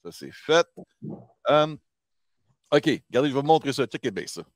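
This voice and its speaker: A man's voice: medium pitch, very animated, moderate pace, no room sound. A man with a Quebec French accent hosting a casual chat in an informal, enthusiastic way. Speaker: host.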